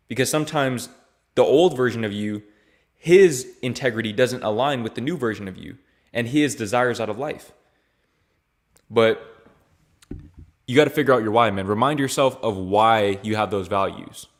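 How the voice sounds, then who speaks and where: A man's voice: medium pitch, moderate pace. Laid-back young man, casual vlog talk. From Louisiana, raised in Texas. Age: young adult. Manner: laid-back, slangy, repeats phrases with "bro" and "man". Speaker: YouTuber answering viewer questions.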